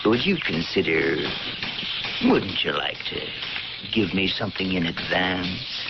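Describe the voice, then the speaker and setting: An actor playing a sly tavern keeper and blackmailer of ancient Pompeii in a radio drama, with a hesitant, insinuating, mock-deferential manner. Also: male voice, low voice, big pitch swings, measured pace, creaky, full character voice. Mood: wheedling, greedy. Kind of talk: theatrical radio drama acting. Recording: noisy, muffled old radio broadcast.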